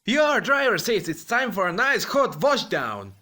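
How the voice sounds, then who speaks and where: Guy doing a excitable cheeky little train engine, bright high voice, cartoon fan dub. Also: a little slow, heavily acted. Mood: friendly, cheerful. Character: cheeky, excitable young male tank engine.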